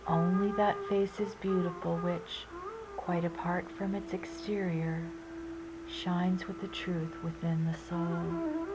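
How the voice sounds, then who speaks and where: Slow, low-voiced woman reading over loud flute music. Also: low-quality recording. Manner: measured, deliberate, solemn. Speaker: narrator. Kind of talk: scripted inspirational reading of sayings. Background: Indian classical flute music.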